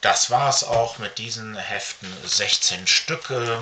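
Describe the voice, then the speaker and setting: A middle-aged man talking casually to camera as a comic collector, halting often with filled pauses, recorded in a room at home. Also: lowish voice. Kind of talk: casual show-and-tell to camera. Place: small furnished room at home, indoors. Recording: faint background noise.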